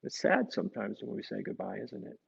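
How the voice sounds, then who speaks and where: A man reading a picture book to children, gently explaining it and often tagging questions onto his remarks. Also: fairly low pitch, huge pitch swings, brisk, vocal fry, breathy edge, muffled recording. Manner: gentle, explanatory, asks the listeners tag questions. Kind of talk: storybook read-aloud with conversational asides.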